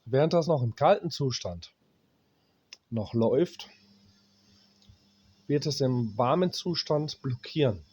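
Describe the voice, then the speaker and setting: German repair guy, got a cold, slow and blunt, mid pitch. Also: animated, a little mellow, slightly rough. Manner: blunt, plain-spoken, swears at cheap gear; pauses while working, talks to himself as he checks parts. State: has a cold, coughing. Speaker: appliance repairman. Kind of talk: hands-on repair explanation.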